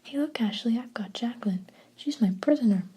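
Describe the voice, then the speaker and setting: A woman voicing a teasing, cocky boy in a breathy whisper. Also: a little high, fairly quick, mellow. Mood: mocking. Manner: whispered, mocking.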